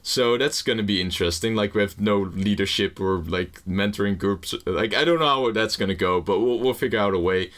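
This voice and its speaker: Rambling gaming commentator. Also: male voice, on the low side, a little fast, few pauses, a bit bright. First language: Dutch. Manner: rambling, jokey, swears casually; nervous first-video energy. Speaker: gaming YouTuber. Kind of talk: casual game commentary.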